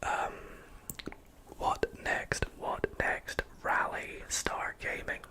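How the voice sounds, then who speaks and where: A person with a Scottish accent whispering softly into a close microphone while answering chat questions on a livestream, with frequent ums. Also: drawn-out words. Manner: soft whisper, reading chat aloud with many fillers. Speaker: ASMR streamer.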